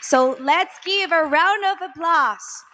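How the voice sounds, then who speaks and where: A woman co-hosting a live pageant, speaking English as an in a deliberate, encouraging way. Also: very high voice, bright, forceful tone, highs cut off, slight background noise. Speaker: pageant co-host. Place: large arena stage.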